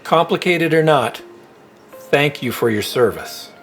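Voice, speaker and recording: An actor playing a friendly, easygoing corporate receptionist in a naturalistic audio drama. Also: male voice, a little high, expressive, a little slow, a bit bright, faint music behind. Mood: sincere, encouraging.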